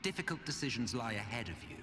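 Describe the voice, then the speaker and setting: An actor playing a condescending, weary immortal villain in a video game, with pompous, sardonic, drawling delivery. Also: male voice, medium pitch, very animated, fast talker, bright, forceful tone. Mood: disdainful, weary. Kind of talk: dramatic video game voice acting. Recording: long hall reverb, music behind.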